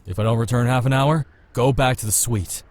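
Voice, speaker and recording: A man's voice: mid-range voice, fairly quick, close to an everyday voice. An actor playing a self-assured, protective young man in a visual novel game, reassuring and a little evasive. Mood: impatient, reassuring.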